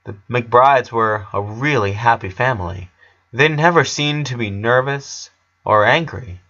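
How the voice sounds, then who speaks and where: Bright-voiced man narrating a story. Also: medium pitch, unhurried, highs cut off. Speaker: narrator of a fan fiction story.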